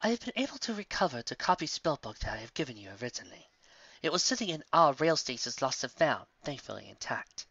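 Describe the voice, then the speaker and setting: A man voicing a stern, regal female pony mentor reading out a letter in formal, measured phrases. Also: mid-range voice, sing-song, pushed and bright, husky, dry recording, highs cut off, heavily acted. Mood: stern but forgiving.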